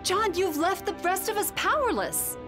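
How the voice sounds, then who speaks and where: An actress playing an earnest, reproachful magician heroine in a video game. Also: female voice, extremely high pitch, expressive, normal speed. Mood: exasperated. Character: earnest, exasperated young female stage magician heroine. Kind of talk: video game cutscene acting. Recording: music behind voice.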